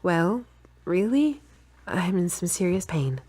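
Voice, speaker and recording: Female lead, audio drama: frank, stubborn girlfriend with a lowish voice, brisk and a little bossy acted by a woman. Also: sing-song, warm tone, exaggerated voice. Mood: frank, weary.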